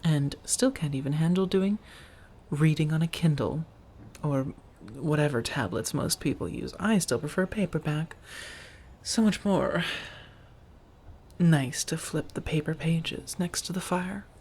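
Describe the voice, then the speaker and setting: A woman playing an affectionate, teasing vampire roommate in an intimate ASMR roleplay, speaking softly and breathily to the listener. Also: deep voice, breathy edge, heavily acted. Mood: curious, teasing. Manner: gently teasing, drawn-out phrasing, soft chuckles.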